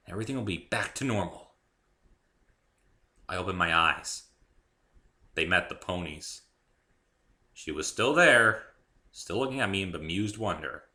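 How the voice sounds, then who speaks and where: Casting: male amateur narrator for dramatic fiction, expressive, lowish voice with a bright, forceful edge. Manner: expressive, theatrical. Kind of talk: dramatic first-person story reading.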